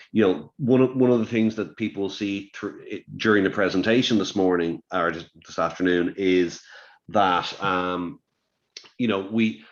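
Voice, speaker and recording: Guy hosting a webinar, lowish voice, repeats himself a lot, dull call audio. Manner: chatty, repeats words, says "you know". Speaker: webinar host. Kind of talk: webinar interview. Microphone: video call.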